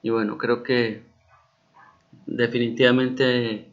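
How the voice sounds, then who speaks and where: A male teacher with a Mexican Spanish accent walks his students through an assignment and keeps checking that they understand. His voice has a gravelly edge. The recording sounds a little dull and lo-fi. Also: medium pitch, level tone, slow. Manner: patient, repeats key points with frequent check-ins. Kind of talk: recorded class explanation.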